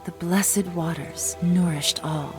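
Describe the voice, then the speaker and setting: Low-voiced, mysterious wise wanderer. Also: female voice, steady tone, takes it slowly, over music. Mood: reverent. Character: wise, mysterious, guarded mythical wanderer woman. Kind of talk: video game dialogue and storytelling narration.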